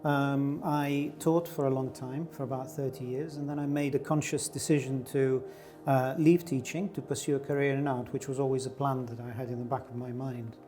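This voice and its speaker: Middle-aged male artist guest, from Greece, musing and unhurried, faint music bed. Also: medium pitch, steady tone, mellow. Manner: thoughtful, searching, with many fillers and restarts. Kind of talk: reflective interview answers.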